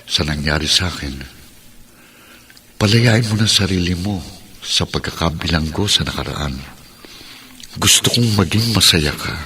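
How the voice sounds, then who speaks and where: An actor playing a gentle, self-sacrificing lover in a radio drama, speaking solemnly and tenderly as if from a dream. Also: male voice, sits low, slight background noise, full character voice.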